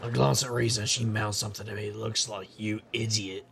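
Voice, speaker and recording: An actor playing a gruff, world-weary middle-aged private investigator in an audio drama, narrating with wry noir weariness in a gravelly voice. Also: male voice, fairly low pitch, little pitch movement, brisk, fairly bright tone. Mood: wistful, wry.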